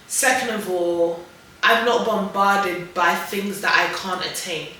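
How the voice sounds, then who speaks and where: A woman's voice: medium pitch, steady tone, pushed and bright, a little hiss or hum. Emphatic young woman, distant and roomy. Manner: emphatic, quick agreeing interjections. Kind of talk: casual chat. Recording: echoey room, voice far from mic.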